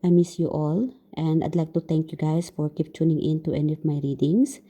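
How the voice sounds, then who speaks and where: Woman, Filipino accent, tarot reader, halting with many fillers, warm dark tone. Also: low-pitched, level tone. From Philippines. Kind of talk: casual tarot reading to viewers.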